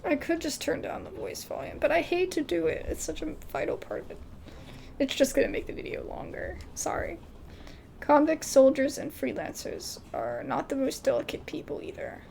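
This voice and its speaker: A woman hosting a casual gaming video, reading game dialogue aloud haltingly and adding her own asides. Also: sits high, big pitch swings, creaky, darkish tone, slight background noise.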